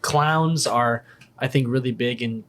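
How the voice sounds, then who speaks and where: A young man co-hosting a podcast, chatting in a relaxed, joking way with casual swearing. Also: medium pitch, unhurried. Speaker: podcast co-host. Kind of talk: casual chat.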